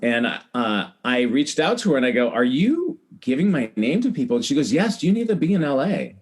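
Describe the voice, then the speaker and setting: A man's voice: medium pitch, very animated, fairly quick, slightly rough. Chatty man telling stories. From United States, Iowa. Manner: chatty, acts out remembered dialogue. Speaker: podcast guest, celebrity hair stylist. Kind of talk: animated casual storytelling.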